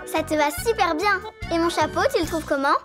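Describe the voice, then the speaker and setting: A woman voicing an impulsive, playful little girl animal in a French children's cartoon, with childlike, expressive delivery. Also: very high voice, fairly quick. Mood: chatty. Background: children's jingly cartoon music.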